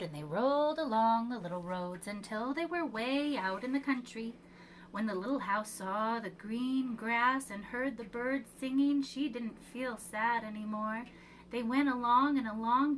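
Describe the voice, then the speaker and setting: A woman reading a children's picture book aloud as a preschool storyteller, with expressive, measured delivery and emphatic pauses. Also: fairly high pitch, a little hiss or hum, low-quality recording.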